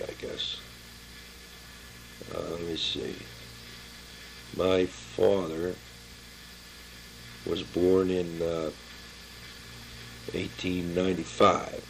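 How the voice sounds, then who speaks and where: Gravelly, very deep elderly American man. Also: slight background noise, poor audio. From Pittsburgh area, Pennsylvania, United States. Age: 70s or older. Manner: halting, searching his memory, plain-spoken. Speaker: family member recording his life story for a relative. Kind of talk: informal spoken family memoir, reminiscing.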